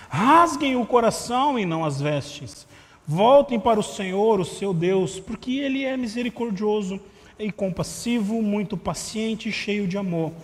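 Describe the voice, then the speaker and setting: Male pastor, conversational sermon, voice that tires easily, live church stream with long reverb. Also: sits high. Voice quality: vocal cord problem, voice tires easily. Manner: conversational preaching with rhetorical asides. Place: church sanctuary.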